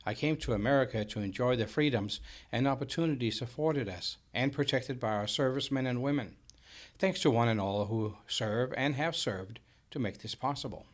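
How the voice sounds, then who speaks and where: Middle-aged Danish-accented man presenting. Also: medium pitch. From Denmark. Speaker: business owner and presenter. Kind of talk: scripted sales presentation.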